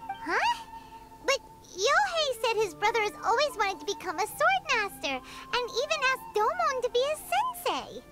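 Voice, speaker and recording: A very high, bouncy voice belongs to a tiny female sprite, swooping up and down as she reacts to everything. She sounds excitable and quick, with loud game music behind her. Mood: surprised. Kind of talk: video game voice acting.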